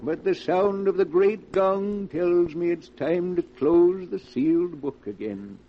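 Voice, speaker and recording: An actor playing a sinister male keeper of a book of dark tales, narrating a 1940s radio horror show with slow relish. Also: sits high. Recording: dull 1940s radio recording with music.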